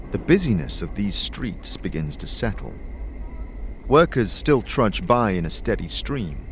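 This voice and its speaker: Low, lively male fantasy narrator. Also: noisy background. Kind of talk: dramatic scripted fantasy narration.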